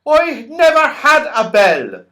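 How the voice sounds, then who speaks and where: A man voicing a muddled, hard-of-hearing little storybook character, loud, puzzled and exclaiming. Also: very high voice, wildly swooping pitch, slow, pitched above the performer's own voice, exaggerated voice.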